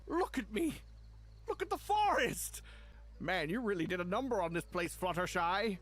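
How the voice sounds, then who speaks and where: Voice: medium pitch, big pitch swings, moderate pace, a bit bright. Actor as theatrical, good-hearted chaos creature. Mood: elated. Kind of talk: animated fan audio drama acting.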